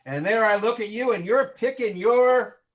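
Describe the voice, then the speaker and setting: A man's voice: high-pitched, moderate pace, close to an everyday voice. A man playing a sports coach who is a panelist in an improvised comedy game show. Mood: reminiscing.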